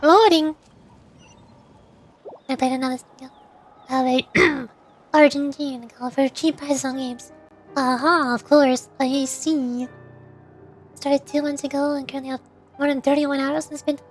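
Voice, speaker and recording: A woman's voice: high voice, fairly even intonation, unhurried, pauses often, soft background music. A woman chatting casually and in a rambling way as she livestreams a game. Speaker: streamer, VTuber. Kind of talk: casual livestream chat while gaming.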